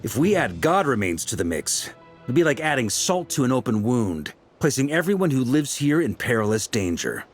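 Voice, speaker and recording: A man's voice: medium pitch, very animated, normal speed, faint music behind, natural voice. An actor voicing a confident male strategist who explains things persuasively and calls out with theatrical flair. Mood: explaining. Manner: persuasive, then showy calling out.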